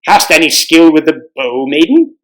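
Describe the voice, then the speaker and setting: A man's voice: on the high side, big pitch swings, moderate pace. A man voicing a noble, grave storybook king who commands kindly in archaic, formal speech. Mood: confident, commanding.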